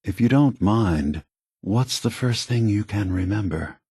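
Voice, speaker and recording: Game role: weary old android leader, low and husky, gently persuasive, philosophical, measured delivery. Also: male voice, animated, no echo, studio quality, full character voice. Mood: calm, reassuring. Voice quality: soft, husky, breathy. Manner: measured, deliberate, philosophical, reassuring. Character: gentle, weary, aged male synth leader, softly persuasive. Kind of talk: video game voice acting.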